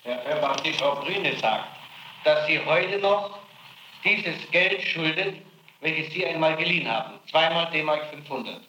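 A man's voice: a little high, unhurried, sharp tone, roomy sound, a little hiss or hum, low-quality recording. A male interrogator putting matter-of-fact questions to a witness.

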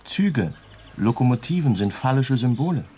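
An actor in a German radio play voicing a smug, seductive doctor with a lecturing, suggestive manner. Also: male voice, mid-range voice, sing-song, moderate pace, warm tone, slight background noise, lightly acted. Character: smug, seductive male doctor, the wife's lover.

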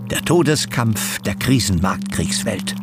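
An actor playing a fervent, grandiose socialist agitator who declaims in fragmented slogans in a German radio play. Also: male voice, lowish voice, expressive, measured pace, creaky. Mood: grandiose, obsessive. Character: fervent socialist agitator recalling his triumphs, grandiose, obsessive. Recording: studio radio play with loud music.

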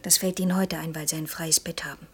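An actress in a German radio play, playing a guarded, stubborn young woman with a breathy voice that often nears a whisper. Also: sits low, level tone, fast. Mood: guarded, defiant.

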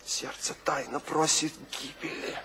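An actor playing a despairing, brooding poet weary of life, speaking heavily in an anguished, sometimes hushed dramatic audio play delivery. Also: male voice, a little high, fairly even intonation, background noise, lightly acted. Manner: slow, heavy, anguished delivery with hushed passages. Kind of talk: dramatic audio play monologue and verse recitation.

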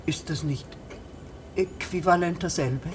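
An actress playing a haughty, officious female magistrate, pompous and quick to take offence in a radio drama. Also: fairly low pitch, a little hiss or hum, lightly acted. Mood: officious, impatient. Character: imperious, indignant female magistrate eager for an execution.

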